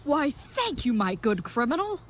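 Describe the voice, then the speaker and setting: A woman's voice: sits high, very animated, unhurried, a little hiss or hum. A woman playing an earnest, good-hearted but dim-witted knightly leathersmith in a comedic audio drama, speaking in florid, mock-archaic phrases. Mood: grateful, courteous.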